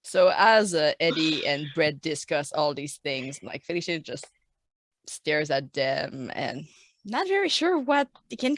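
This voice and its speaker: Female tabletop player, casual improv, lowish voice with lively pitch swings. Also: dry recording. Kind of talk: casual improvised game play.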